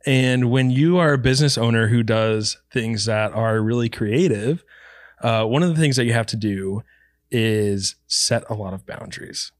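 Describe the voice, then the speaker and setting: A man's voice: medium pitch, normal speed, no echo, clean studio sound. A man co-hosting a podcast who is congested and nasal from allergies, chatting loosely and laughing often. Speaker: podcast co-host. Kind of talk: casual podcast chat.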